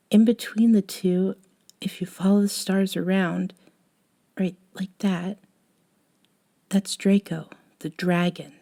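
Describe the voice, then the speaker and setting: A woman playing a gentle, caring young woman with a wistful streak in an intimate ASMR roleplay, speaking softly and hesitantly and often dropping toward a whisper. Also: on the low side.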